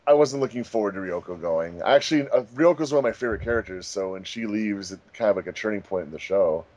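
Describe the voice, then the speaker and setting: A man chatting casually as a director on a commentary track, explaining things with frequent pauses. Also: on the low side, a little mellow, dull audio. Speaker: fandub director and voice actor on commentary.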